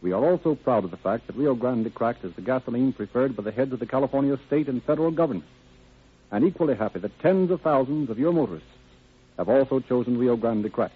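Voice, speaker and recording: A man's voice: medium pitch, lively intonation, fast talker, slightly rough. A man announcing and narrating a scripted 1930s radio program in a formal, salesmanlike manner. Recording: tinny 1930s radio recording.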